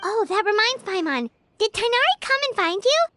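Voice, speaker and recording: A woman voicing a tiny, childlike, excitable fairy companion in a video game, with a chirpy manner. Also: very high-pitched, moderate pace, slight background noise, heavily acted. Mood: curious, chirpy. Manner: chirpy, refers to self in third person.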